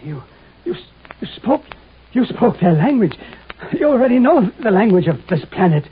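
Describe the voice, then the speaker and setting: An actor playing a shaken, apologetic professor with halting, stammering speech in a 1950s radio drama. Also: male voice, high-pitched, very animated. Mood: agitated. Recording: tinny, faintly noisy 1950s radio recording.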